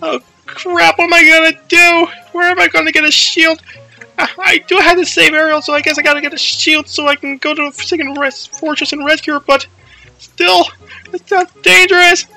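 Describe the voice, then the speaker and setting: A man's voice: very high-pitched, level tone, normal speed, vocal fry, bright, forceful tone, faint music behind, pitched above the performer's own voice, exaggerated voice, rough recording. A man voicing an anxious boy hero from a video game, boastful yet easily frightened, whiny and nervous. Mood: panicked.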